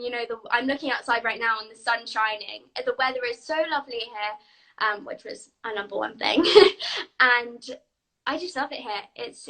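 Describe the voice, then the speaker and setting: Bubbly British girl, high and bright. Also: low-quality recording. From England. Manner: bubbly, giggly, eager. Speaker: guest actress. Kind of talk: live video interview.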